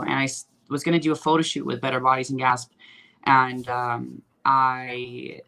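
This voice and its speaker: A woman's voice: extremely low pitch, pushed and bright. A young woman from Sweden with a light Swedish accent, chatting loosely as an interview guest and telling stories with lots of fillers. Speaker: guest bodybuilder. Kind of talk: casual interview chat.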